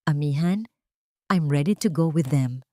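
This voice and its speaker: A woman's voice: deep voice, unhurried, warm tone, dry recording, clean studio sound. A woman voicing a broken, anguished, remorseful husband who has been betrayed, in an audio story. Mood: resigned remorse.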